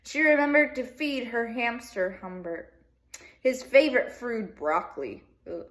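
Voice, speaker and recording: Higher-pitched woman reading to children. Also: a little slow, low-quality recording. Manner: expressive storytelling addressed to young children. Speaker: second grade teacher. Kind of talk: reading a children's picture book aloud with casual asides.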